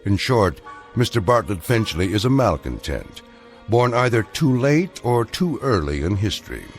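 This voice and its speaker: An actor as a grave, ominous narrator of uncanny tales, giving measured, ironic scripted narration. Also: male voice, fairly low pitch, big pitch swings, faint music behind. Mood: wry, grave.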